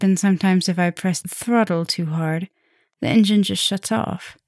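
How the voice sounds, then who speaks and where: Low-voiced, intimate vampire mistress, acted by a woman. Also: darkish tone, dry recording, studio quality. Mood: chatty, casual. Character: caring, softly dominant female vampire professor, protective mistress. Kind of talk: ASMR roleplay, speaking intimately to the listener.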